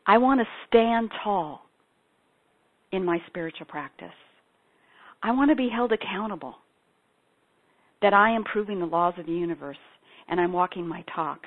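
A woman's voice: medium pitch, lively intonation, moderate pace, pauses often. A middle-aged woman, a spiritual practitioner and singer-songwriter, telling her personal story in an earnest, heartfelt way. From Ethiopia. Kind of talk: personal testimony talk. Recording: tinny phone-like sound.

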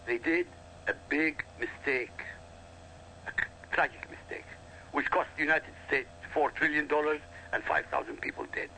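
A man from Iraq whose first language is Arabic, lecturing forcefully and emphatically in a heated radio talk, repeating points for weight. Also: fairly high pitch, normal speed, slight background noise, poor audio. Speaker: guest commentator.

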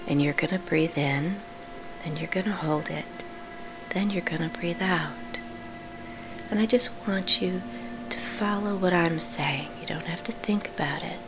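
A woman with a breathy, often whispery voice gently guides a sleep meditation, hushed and unhurried, with reassuring instructions. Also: low voice. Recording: clearly audible background hum.

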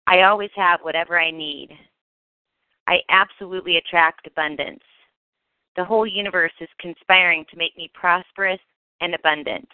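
A woman with a fairly low, smooth voice reads guided meditation affirmations. She speaks in short, measured phrases. The sound is very dry, with no room around it. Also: dry recording. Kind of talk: scripted affirmation meditation narration. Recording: tinny phone-like narrow band, dry.

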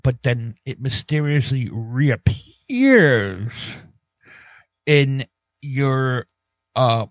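A man's voice: medium pitch, dry recording, rough recording. A man hosting a podcast in casual chat, musing hesitantly as he thinks things over. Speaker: podcast host.

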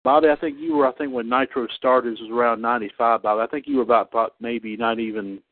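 Halting host on a tinny line. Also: male voice, medium pitch, steady tone, dry recording. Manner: halting, many restarts and fillers. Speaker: podcast host. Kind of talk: casual online radio chat. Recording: tinny phone-line sound, dry.

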